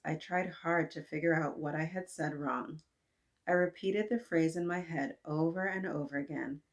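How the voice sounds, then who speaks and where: Woman in her thirties, low voice, children's read-aloud, gentle asides, desk webcam. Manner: gentle, asks kids to reflect, frequent "right?". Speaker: children's book reader. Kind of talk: read-aloud storytelling for kids. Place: indoors, small room with painted mural.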